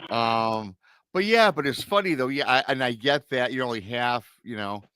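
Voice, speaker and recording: A man's voice: medium pitch, animated, moderate pace, pushed and bright, clean tone, no echo. A middle-aged man with a Michigan American accent, hosting a casual talk show in a rambling, repetitive way. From Michigan, USA. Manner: rambling, repeats himself. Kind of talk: casual chat.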